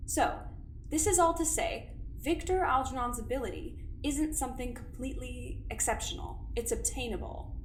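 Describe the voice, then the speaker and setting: Woman doing a cool scheming lady doctor, kinda high voice, clinical and creepy-calm, echoey room. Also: slight background noise, close to an everyday voice. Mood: probing. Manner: measured, clinical, quietly menacing. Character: cold scheming female doctor, coolly optimistic. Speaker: doctor running an investigation. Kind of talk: audio drama acting.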